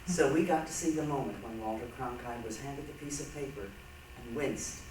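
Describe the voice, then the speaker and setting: A man's voice: medium pitch, moderate pace, close to an everyday voice. A man performing in a reader's theatre piece as an earnest figure soberly reflecting on the past. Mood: wry. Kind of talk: staged dramatic reading. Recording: very echoey, noisy hall, distant mic.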